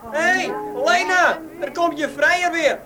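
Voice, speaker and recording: Jeering street kid type, super high voice, radio drama, crowd noise around. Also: unhurried, loud music behind the voice. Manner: taunting. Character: jeering young street troublemaker.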